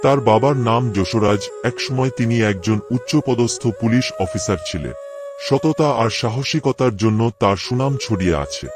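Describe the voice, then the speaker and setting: A man's voice: medium pitch, normal speed, music in the background, natural voice. A man playing a courteous matchmaker in a cartoon drama. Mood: polite, recommending. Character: courteous matchmaker pitching a suitable groom. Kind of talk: cartoon story voice acting.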